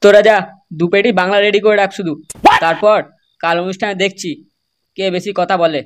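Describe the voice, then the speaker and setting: A woman's voice: medium pitch, steady tone, a little fast, slight background noise, natural voice. A woman voicing brash young village troublemakers in an amateur cartoon comedy, scolding crudely and swearing constantly. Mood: scheming, bossy.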